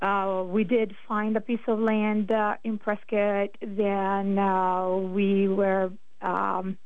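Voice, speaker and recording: A woman from northern Italy with an Italian accent, a guest on a radio talk show, telling her story slowly with many fillers and pauses. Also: medium pitch, completely flat delivery, clean tone, dry recording, narrow, tinny audio. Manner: slow, hesitant, many fillers. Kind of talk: casual radio interview.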